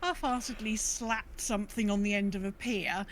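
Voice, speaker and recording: A woman's voice: mid-range voice, normal speed. Wry woman podcaster with noisy background. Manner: wry, self-correcting storytelling. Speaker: podcast co-host. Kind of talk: casual chat about gaming.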